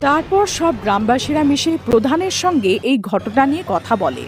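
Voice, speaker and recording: A woman's voice: high-pitched, big pitch swings, moderate pace, faint music behind. A woman telling a scripted ghost story as the narrator of a cartoon.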